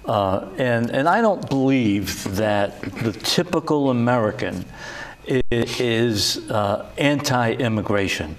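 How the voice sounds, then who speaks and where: An older man hosting a political talk show, speaking slowly and deliberately with frequent pauses and fillers. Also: medium pitch, expressive. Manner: slow and deliberate, many uh fillers. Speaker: host.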